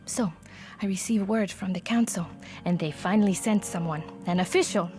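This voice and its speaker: A woman's voice: medium pitch, moderate pace, dark tone, lightly acted. A woman voicing a weary, wary official in a video game, grave and exasperated, with a Spanish accent. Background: music.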